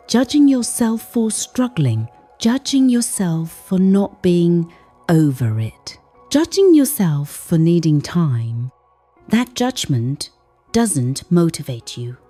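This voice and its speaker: A woman softly narrating a scripted bedtime meditation in a gentle, soothing, hushed way, in short measured phrases. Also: lowish voice, husky. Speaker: sleep podcast narrator.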